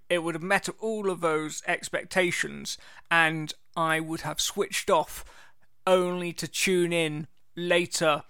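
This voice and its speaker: A man's voice: fairly high pitch, pushed and bright, smooth. A man, co-hosting a podcast with jokey, wisecracking casual chat. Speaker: podcast co-host.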